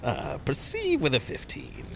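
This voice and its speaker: A man playing a tabletop game on a podcast, talking casually in a halting, deliberate way. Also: a little high, huge pitch swings. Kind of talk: casual improvised game chat. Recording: clear background noise.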